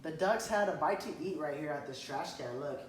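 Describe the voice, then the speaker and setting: A man reading a children's picture book aloud to a child, stopping to point at the pictures and ask questions, and often clearing his throat. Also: a little high, animated, fairly quick. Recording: echoey room, faint background noise.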